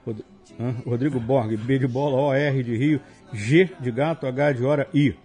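A man's voice: mid-range voice, normal speed, darkish tone, faint music behind. A man with a Brazilian accent hosting live radio, reading listener emails aloud with jokey asides. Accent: Brazilian Portuguese. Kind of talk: casual live radio chat.

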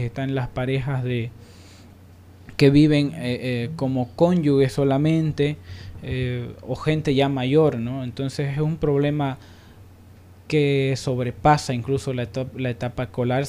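A man's voice: mid-range voice, mellow. A man hosting a panel discussion, speaking reflectively with emphatic repetition as he searches for words. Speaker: radio programme host.

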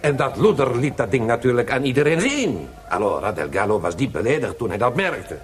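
Acteur als zwierige, intrigerende Italiaanse operadichter. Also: male voice, medium pitch, sing-song, fairly quick, lightly acted. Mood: indignant, scheming. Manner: theatrical, peppers speech with Italian exclamations. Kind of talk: radio drama acting.

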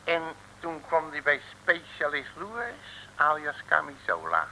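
An actor playing a cheeky, streetwise detective in an old radio serial, with a folksy, cajoling, colloquial way of talking. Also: male voice, on the high side, huge pitch swings, measured pace, bright, forceful tone, a little hiss or hum, heavily acted, rough recording. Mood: cajoling, bragging. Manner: folksy, colloquial, cajoling, calls listener brother. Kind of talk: 1950s-60s radio serial acting.

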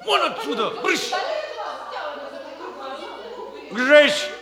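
Blustering, animated older major. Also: male voice, very high-pitched, measured pace, crowd noise, full character voice. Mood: furious. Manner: explosive, incredulous, theatrical. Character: blustering, grumpy ageing major, exasperated brother. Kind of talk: 1960s Russian radio comedy acting.

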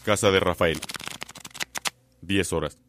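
A man's voice: low-pitched, fairly even intonation, takes it slowly, background noise. A man acting as a terse scene announcer in a radio drama. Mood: neutral. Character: terse male announcer of places and times.

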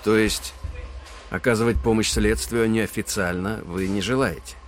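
A man's voice: on the low side, fairly even intonation, faint music behind, close to an everyday voice. A man playing a patient police lieutenant. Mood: formal. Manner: measured, explanatory. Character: weary young male police lieutenant, patient. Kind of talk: audio drama acting.

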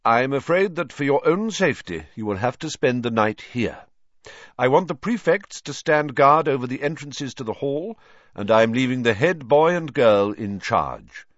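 A grave old wizard headmaster, voiced by a male narrator, giving orders in a measured, courteous way, lifted and firm. The sound is dry and a little dull. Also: mid-range voice, animated, no echo, voice pushed up, natural voice.

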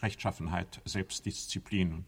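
A man's voice: sits low, steady tone, a little slow, gravelly, slightly rough, full character voice. An actor in a radio play, a man playing an uneasy, self-important American elder statesman who speaks dryly and hesitantly, hemming between phrases. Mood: embarrassed, hesitant.

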